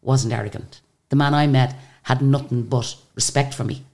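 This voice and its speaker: An Irish woman with a very deep voice, a singer chatting as a guest and telling stories in a lively, animated way. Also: moderate pace. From Ireland. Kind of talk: casual interview storytelling.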